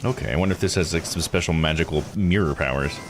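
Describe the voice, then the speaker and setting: A man's voice: a bass voice, quick pace, background noise. A man giving casual, laid-back game commentary as a streamer, musing aloud as he plays. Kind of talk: casual let's-play commentary.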